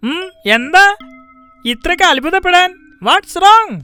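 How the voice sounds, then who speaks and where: Woman as cartoon shopkeeper dad, very high-pitched, sharp, proud, lecturing, music underneath. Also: expressive, takes it slowly, dry recording, full character voice. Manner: boastful, admonishing, lecturing. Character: proud, scolding male shopkeeper father in cartoon. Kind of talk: cartoon dialogue. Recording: music behind the voice.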